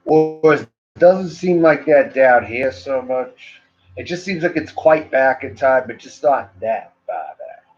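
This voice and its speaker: A man's voice: medium pitch, animated, takes it slowly. A man from Maine with a Maine accent, chatting loosely to livestream viewers as a cigar reviewer, meandering and full of "like" and "you know.". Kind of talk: rambling casual livestream chat. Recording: dull lo-fi livestream audio.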